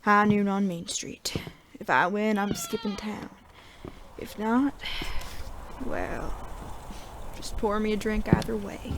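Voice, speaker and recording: A woman's voice: mid-range voice, fairly even intonation, slow, pauses often, noisy background. A woman playing a weary, sardonic veteran male sheriff in a Western audio drama, with an American accent and a dry folksy drawl. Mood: wry, resigned.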